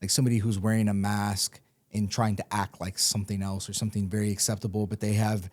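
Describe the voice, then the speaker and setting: A man's voice: lowish voice, little pitch movement, quick pace, darkish tone. A young man co-hosting a podcast, talking through ideas in a thoughtful, casual way. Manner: thoughtful, reflective, with fillers and restarts. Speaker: podcast co-host. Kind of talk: casual chat.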